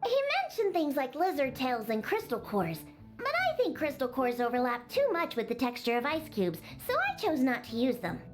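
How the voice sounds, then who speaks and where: A woman's voice: sits high, huge pitch swings, moderate pace. A woman voicing a grumpy young catgirl bartender in a video game, huffy, haughty and sulky. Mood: businesslike. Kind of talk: animated game voice acting. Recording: music behind the voice.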